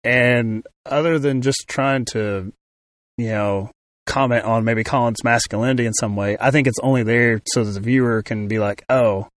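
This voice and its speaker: A man's voice: mid-range voice, fairly even intonation, normal speed, dry recording. A man co-hosting a casual film discussion, talking thoughtfully with frequent restarts and fillers. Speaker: film podcast co-host.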